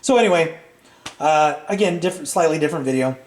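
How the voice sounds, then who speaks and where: Middle-aged man rambling in an echoey room. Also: on the high side. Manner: rambling, halting, hesitant phrasing. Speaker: vintage audio repair channel host. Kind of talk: casual unscripted product chat. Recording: roomy, echoey sound.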